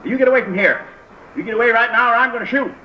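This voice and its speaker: A man's voice: very high voice, rapid-fire. An actor playing a distraught, paranoid gunman in a 1950s radio crime drama, agitated and repeating himself in folksy, ungrammatical speech. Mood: paranoid, threatening. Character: distraught paranoid gunman, cornered, self-pitying, uneducated drifter. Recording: muffled old radio broadcast, faint noise.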